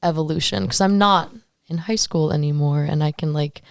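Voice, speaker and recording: A twenty-two-year-old woman hosting a casual podcast, her fairly low voice edged with vocal fry. She talks candidly and playfully. The sound is close and dry, from a studio boom mic. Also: no echo. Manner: candid, playful, quick to laugh. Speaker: podcast host. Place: small decorated podcast studio. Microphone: studio boom mic with headphones.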